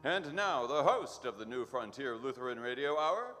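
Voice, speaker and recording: A man's voice: medium pitch, very animated, moderate pace, sharp tone, big-room sound, soft background music. A man playing a folksy, pompous announcer on an old-time church radio show, with flowery phrasing. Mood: grand, announcing.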